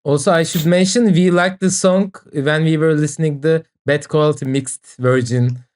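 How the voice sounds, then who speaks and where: Mid-pitched speaker in casual podcast chat. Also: steady tone, normal speed, a little mellow. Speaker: podcast panelist.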